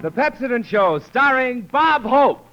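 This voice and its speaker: A man's voice: very high voice, very animated, a little slow, loud music behind the voice. An American man announcing a 1930s radio show and its cast. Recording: noisy, muffled 1930s radio broadcast.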